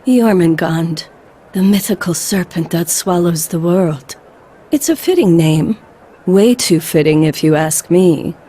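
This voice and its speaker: A woman's voice: on the low side, animated, a little slow, dark tone, slightly rough. An actress playing a cool young female operative with a wry, understated manner in a video game cutscene. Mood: wry unease.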